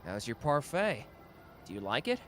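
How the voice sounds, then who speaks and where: A short-tempered, protective young man with a gruff, clipped way of talking, as a man performs him for an ASMR roleplay. His voice stays at an ordinary middle pitch for a man. He comes across as brusque on the surface and caring underneath. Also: very animated, normal speed, fairly bright tone, faint music behind. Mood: shy.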